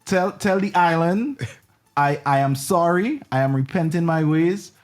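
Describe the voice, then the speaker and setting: A man from the Caribbean with a Caribbean accent, hosting a casual on-air interview and joking playfully, his voice leaping up in pitch. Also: unhurried. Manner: playful, jumps high in pitch when joking. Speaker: radio show host.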